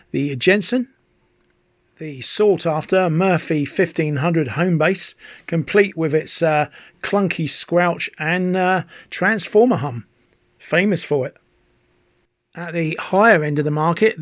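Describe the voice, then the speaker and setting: Higher-pitched British man, dry close sound. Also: no echo. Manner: chatty enthusiast, hesitant phrasing. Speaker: hobbyist video host. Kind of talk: informal narrated product history.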